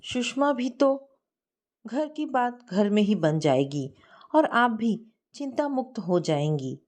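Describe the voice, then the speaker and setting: A woman's voice: a little high, very animated, slow, a little mellow. A woman narrator voicing an older mother who tactfully and persuasively proposes a family match. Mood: tactful persuasion.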